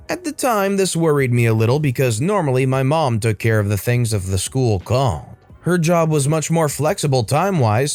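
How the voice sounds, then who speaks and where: Deep-voiced male story narrator. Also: no echo. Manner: steady storytelling, addresses the audience. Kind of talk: reading stories aloud.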